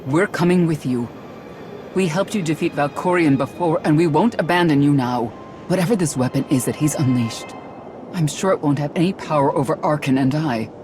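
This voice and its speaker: An actress playing a loyal, mature warrior in a video game, formal and firm. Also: female voice, low voice, fairly quick, noisy background, lightly acted. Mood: resolute. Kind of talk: video game cutscene acting.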